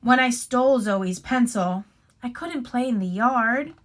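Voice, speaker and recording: Woman, fairly high pitch, very slow delivery, children's read-aloud, expressive, instructive, casual asides. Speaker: teacher reading a picture book aloud.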